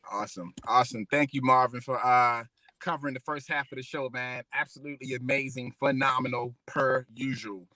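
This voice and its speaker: A man's voice: mid-range voice, fairly even intonation, fairly quick, bright, forceful tone, no echo. A man co-hosting a live-stream show, talking in a laid-back, slangy way and laughing easily. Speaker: co-host. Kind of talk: casual live-stream chat.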